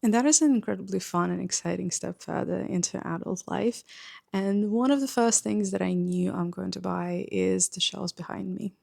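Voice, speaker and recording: A woman's voice: mid-range voice, creaky, darkish tone, dry recording, clean studio sound. A woman in her thirties whose first language is Russian, chatting earnestly to camera in a home room about her book collection. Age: 30s. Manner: chatty and earnest, with some filler pauses. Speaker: book vlogger. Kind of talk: casual vlog talk to camera. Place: small home room with bookshelves, indoors.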